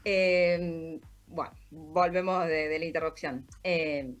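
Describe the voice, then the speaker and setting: A woman from Argentina with a Rioplatense accent, calmly explaining her story as an interview guest and often tagging sentences with "¿no?". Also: low-pitched, takes it slowly. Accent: Rioplatense Spanish. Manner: reflective, explanatory, frequent "¿no?" tags. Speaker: podcast creator, guest.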